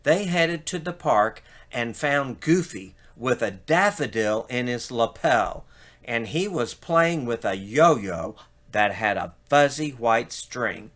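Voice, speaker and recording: Male storybook reader, unhurried and expressive, with bright tone and wide intonation. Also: mid-range voice. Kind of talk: expressive children's story read-aloud.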